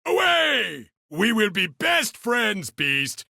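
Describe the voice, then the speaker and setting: A man's voice: high-pitched, wildly swooping pitch, slow, creaky, sharp tone, no echo, clean studio sound, full character voice. A man playing a boisterous, eccentric, cheerfully mad beast hunter in a video game, talking with theatrical exuberance. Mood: exuberant, gleeful. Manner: third-person self-talk, theatrical, exuberant delivery. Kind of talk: video game character voice lines.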